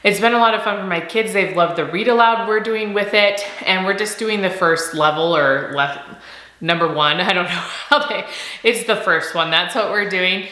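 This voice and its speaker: Upbeat, slightly nasal mom vlogger. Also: female voice, mid-range voice, moderate pace, sharp tone, reverberant. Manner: upbeat, laughs often, repeats words for emphasis. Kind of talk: casual chatty vlog.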